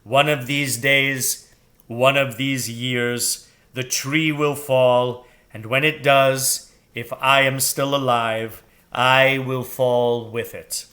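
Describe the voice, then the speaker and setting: A man voicing an old, weary owl in a children's storybook, speaking gravely and deliberately, with a gloomy, portentous air. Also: mid-range voice, level tone, bright, forceful tone. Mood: solemn, mournful. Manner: slow, deliberate, portentous delivery. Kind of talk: children's storybook read aloud.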